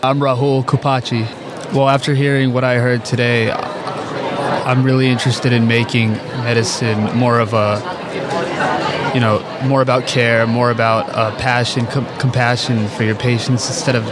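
A young premed man answering thoughtfully and haltingly in an outdoor interview. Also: medium pitch, little pitch movement. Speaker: premed student. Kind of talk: vox pop interview. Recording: loud outdoor crowd noise. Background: loud crowd chatter.

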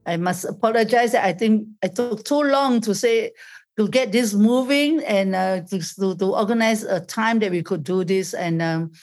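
A woman talks as an interview guest over a laptop call. She stops and restarts her sentences and searches for words, and her voice sits at a middling pitch. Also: normal speed, a little mellow. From Malaysia, raised in Singapore. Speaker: podcast guest. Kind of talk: casual interview chat. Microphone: laptop video call.

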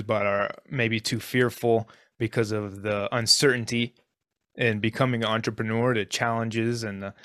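A man's voice: medium pitch, fairly bright tone, no room sound, studio quality. Earnest man hosting a podcast. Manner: earnest, appreciative, halting with restarts. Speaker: podcast host. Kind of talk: interview hosting.

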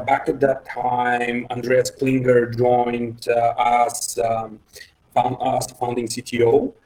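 A man from Bulgaria, in his thirties, talks through interview answers in a flat, even voice. He hesitates often, restarts sentences and slips in small laughs. He sits some way back from the microphone. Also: mid-range voice. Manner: hesitant, many fillers and restarts, light laughs. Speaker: guest, head of engineering. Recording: voice distant from the mic.

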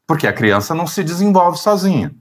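A man, a therapist, explaining at length in conversation, pausing often to search for words. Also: a little high, sing-song. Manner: thoughtful, searching for words, frequent fillers.

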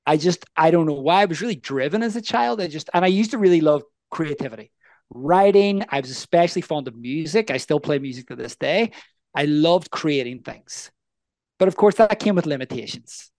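A man in his thirties with a Northern Irish accent, telling his story as a coaching guest in an earnest, energetic way. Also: on the high side, brisk, dry recording. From Northern Ireland. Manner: energetic, earnest, asks the listener questions. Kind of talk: casual interview storytelling.

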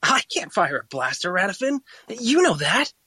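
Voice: medium pitch, very animated, moderate pace, background noise, natural voice. An actor playing an earnest young male alien technician in an audio drama, anxious but loyal. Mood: earnest, uneasy.

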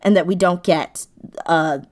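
Woman, lowish pitch, casual interview guest, reflective, feeling her way through explanations. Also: unhurried, a little mellow. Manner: thoughtful, searching for words. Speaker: guest, audio drama writer.

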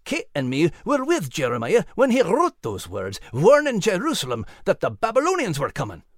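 A woman's voice: on the low side, wildly swooping pitch, moderate pace, no echo, clean studio sound. A woman voicing an earnest male animal character in a children's story. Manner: folksy, plain-spoken.

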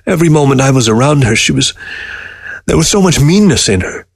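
A man's voice: medium pitch, expressive, brisk, creaky, slightly rough, no echo, studio quality, pitched above the performer's own voice. A man voicing a weary, grieving old man in a story, defensive and pleading. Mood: anguished, defensive. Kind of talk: storybook character dialogue.

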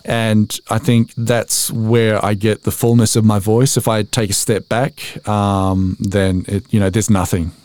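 A man's voice: fairly low pitch, little pitch movement, unhurried, hardly any pauses, darkish tone, no room sound. Self-deprecating Australian man, close dry sound. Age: 40s. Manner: chatty, self-deprecating, tells anecdotes with acted-out quotes. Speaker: voice-over artist being interviewed. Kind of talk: casual podcast interview.

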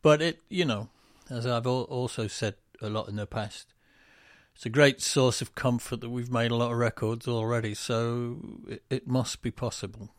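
A man's voice: medium pitch, fairly even intonation, moderate pace, dry recording, studio quality. A man co-hosting a casual podcast, rambling with lots of ums and self-deprecating asides. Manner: breaks into quoted voices for jokes. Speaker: musician and podcast co-host.

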